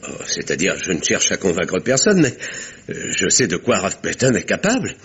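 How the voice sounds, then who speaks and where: An actor playing a courteous, formal village doctor who narrates and talks in a radio mystery with literary theatrical delivery. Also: male voice, low voice, wildly swooping pitch, normal speed, fairly bright tone, breathy edge, exaggerated voice. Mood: defensive.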